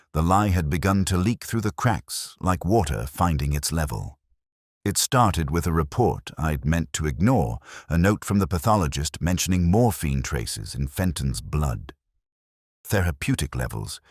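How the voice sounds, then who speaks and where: A man playing a brooding, melancholy police detective, narrating his case in a measured, reflective, literary manner, as in a scripted mystery drama. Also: very deep voice, dry recording, studio quality, full character voice.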